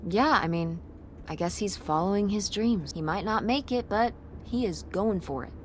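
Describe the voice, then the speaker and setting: An earnest teenage daughter, played by an actress, talks in a casual, slangy, sincere way. Her voice sits at an ordinary pitch. A faint hiss lies under the game dialogue. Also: animated, moderate pace, natural voice. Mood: curious. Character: earnest teenage daughter, budding web designer. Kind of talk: naturalistic game voice acting.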